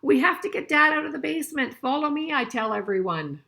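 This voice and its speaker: Older woman with animated storytime delivery. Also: sits high, brisk, vocal fry. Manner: expressive, asking listeners questions as she goes. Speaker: grandmother reading a picture book to children. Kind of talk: children's storybook read-aloud with conversational asides and questions.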